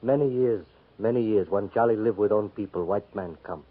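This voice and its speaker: An actor playing a proud, wry Crow Indian scout in a 1950s radio drama, speaking clipped broken English and calling himself by name. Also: male voice, lowish voice, moderate pace, natural voice. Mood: plain. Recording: tinny lo-fi 1950s radio broadcast.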